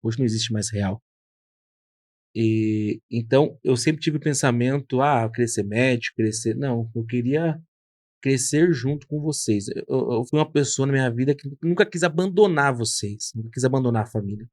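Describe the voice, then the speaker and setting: A man's voice: medium pitch, moderate pace, darkish tone, no echo, clean studio sound. A man in his thirties, a hairdresser and podcast host, telling his story in an earnest, reflective way. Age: 30s. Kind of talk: casual conversation.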